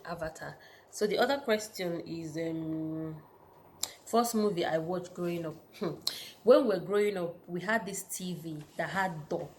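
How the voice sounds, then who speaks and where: A woman's voice: fairly low pitch, a little hiss or hum. A Nigerian woman in her thirties telling personal stories in a casual sit-down vlog, chatty and full of fillers. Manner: chatty, storytelling with frequent fillers. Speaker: vlogger.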